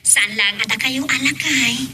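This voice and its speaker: A woman acting a gentle wife phoning her husband in an audio drama, with an Ilocano Filipino accent. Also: on the high side, unhurried. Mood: tender, hurried. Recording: faint background noise.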